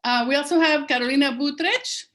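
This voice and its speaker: A woman's voice: sits high, brisk, fairly bright tone. A woman who grew up in Peru, hosting and introducing a live-streamed panel over a video call, chatty and upbeat with frequent ums. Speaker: festival host.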